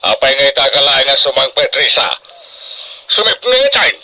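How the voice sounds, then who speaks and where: A man's voice: medium pitch, very animated, normal speed, pushed and bright, heavily acted. A man playing a stern but doting middle-aged farmer father in a radio drama, with an Ilocano Filipino accent and emphatic delivery. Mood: worried, scolding.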